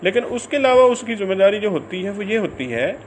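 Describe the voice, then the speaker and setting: Man, high voice, Pakistani accent, informal teaching, halting repetitive delivery, English terms mixed in, faint background noise. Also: big pitch swings. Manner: halting, repetitive, filler-heavy explaining; mixes English legal terms into speech. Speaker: law lecturer. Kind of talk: informal explanatory law lecture.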